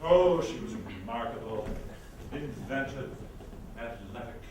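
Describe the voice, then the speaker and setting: A man's voice: mid-range voice, expressive, a little slow, a little mellow, close to an everyday voice. Man playing grandiose theatrical schemer, echoey stage. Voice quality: hammy projected stage voice. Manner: grandiose, mocking, melodramatic. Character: flamboyant, scheming theatrical impresario, taunting a rival. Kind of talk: comic stage acting, readers theatre. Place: indoor stage or hall. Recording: echoey stage, distant mic, faint noise.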